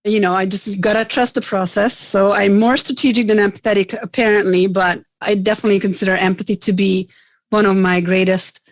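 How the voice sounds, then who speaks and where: A woman's voice: medium pitch, fairly even intonation, fairly quick, no echo. A middle-aged woman from Hungary, a business owner talking in an interview, earnest, often restarting words and saying "you know". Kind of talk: casual interview conversation.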